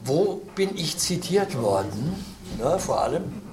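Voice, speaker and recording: A man from Cologne answering interview questions in a measured, lecturing way, with asides and restarts. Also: fairly high pitch, very animated, reverberant, noisy background. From Cologne, Germany. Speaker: interview guest.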